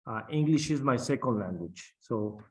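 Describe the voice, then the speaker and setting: A middle-aged man whose first language is Spanish speaks slowly and haltingly, with many fillers and restarts. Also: mid-range voice, expressive, poor audio. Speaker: guest captain. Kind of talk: interview on aviation.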